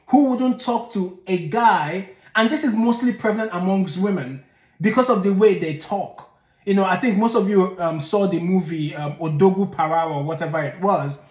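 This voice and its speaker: A man's voice: sits high, reverberant. A man giving blunt, exasperated commentary as a channel host, often digressing. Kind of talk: opinionated commentary.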